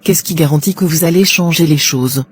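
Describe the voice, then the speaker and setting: A woman's voice: deep voice, a little fast, a little mellow, husky. An actress playing a polite, probing female talk show presenter in a cartoon, formal and composed. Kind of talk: scripted broadcast interview in a cartoon.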